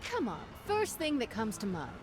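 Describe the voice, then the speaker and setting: A woman's voice: a little high, huge pitch swings, normal speed, music in the background. An actress playing a confident, teasing female pirate captain in a video game. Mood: playful. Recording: noisy ambient game soundscape behind.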